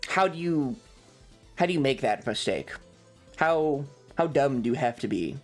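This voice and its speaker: A streamer rambling casually through a personal story in an exasperated, sweary way, with halting, repetitive phrasing. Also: mid-range voice, expressive, faint music behind.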